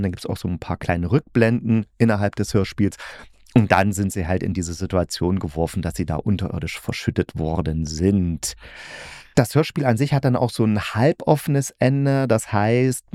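A man's voice: on the low side, darkish tone, no echo, clean studio sound. A man talking casually and in a rambling, colloquial way as a podcast host giving a review. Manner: colloquial, rambling, hedged opinions. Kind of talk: casual solo review talk.

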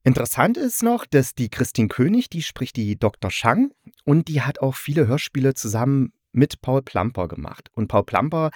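A man's voice: mid-range voice, very animated, moderate pace, darkish tone, no room sound, studio quality. A man hosting a podcast, giving a casual, chatty and enthusiastic review in colloquial language. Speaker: podcast host and radio play reviewer.

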